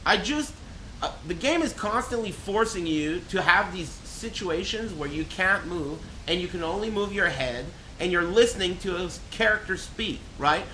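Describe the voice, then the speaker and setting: A man with a high, bright voice streams a video game in a roomy, echoey space. He rants casually and bluntly, with plenty of swearing and exasperated sarcasm. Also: expressive, normal speed. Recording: roomy echo, faint background noise.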